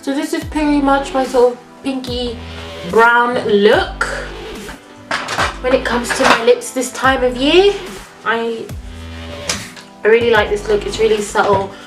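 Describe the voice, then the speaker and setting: A woman's voice: a little high, measured pace, pauses often. A woman vlogger talking casually through her makeup routine, sounding nasal from a cold. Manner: chatty, talks while applying makeup. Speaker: beauty vlogger. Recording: echoey room with music behind.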